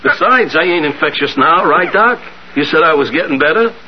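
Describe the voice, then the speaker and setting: A man's voice: on the high side, animated, moderate pace, slightly rough. An actor playing a chatty, whiny, ailing old American man in a hospital, in a 1970s radio drama. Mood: chatty, needling. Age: older. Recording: muffled, slightly noisy 1970s radio recording.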